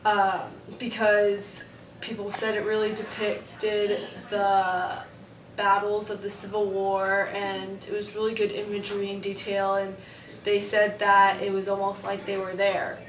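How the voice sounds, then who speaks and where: Girl playing a laid-back guy novelist, lots of ums, flat voice, far from the mic. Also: mid-range voice, a little slow, roomy sound, slight background noise, close to an everyday voice. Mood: casual. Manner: casual, rambling, many ums. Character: casual young male novelist guest. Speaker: student playing a war novelist. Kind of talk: school project mock talk show.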